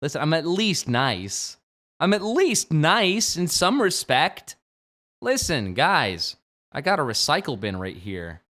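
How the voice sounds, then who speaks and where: A man in his twenties, a game streamer, chatting jokily on a late-night livestream and jumping from low mumbles to sudden shouts. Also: sing-song, slow. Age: 20s. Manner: playful, jokey, swings from mumbling to sudden shouts.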